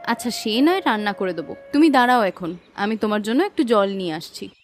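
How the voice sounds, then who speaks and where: A woman playing an affectionate, bossy wife in a cartoon fairy tale, with a Bengali accent and an expressive manner. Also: mid-range voice, brisk, faint music behind, lightly acted. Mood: caring. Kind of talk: cartoon voice acting, domestic dialogue.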